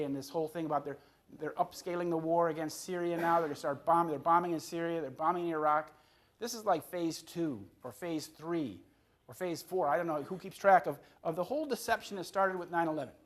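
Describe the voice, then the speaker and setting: A man's voice: on the high side, fairly quick. A man in his fifties from the Chicago area, an author giving a public talk to an audience, often repeating words and restarting his phrases. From Chicago area, USA. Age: 50s. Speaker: author on a book tour.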